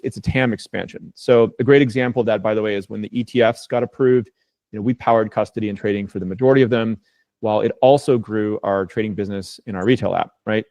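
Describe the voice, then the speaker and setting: A man's voice: lowish voice, a little mellow, no echo. A man speaking as a company executive on an earnings call, making his case point by point in an assured, emphatic and conversational way.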